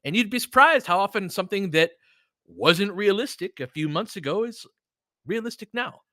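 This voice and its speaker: A man's voice: high voice, expressive, normal speed, sharp tone, no room sound, clean studio sound. An American man hosting live basketball commentary, talking casually and thinking aloud, with emphatic stresses as he chats with listeners. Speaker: sports show host and game commentator.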